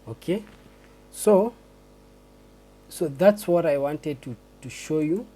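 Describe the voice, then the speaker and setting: A man giving a screen-recorded tutorial on a transcription test, explaining slowly and hesitantly with many restarts. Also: a little high, warm tone.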